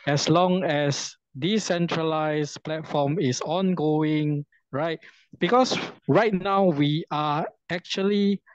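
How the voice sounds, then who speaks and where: Guy hosting a zoom q&a, slow and repetitive, kinda high voice, muffled call audio. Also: no echo. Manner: slow, reassuring, repeats himself. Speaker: Zoom session host. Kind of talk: casual Q&A on a video call. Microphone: video call. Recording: dull video call audio.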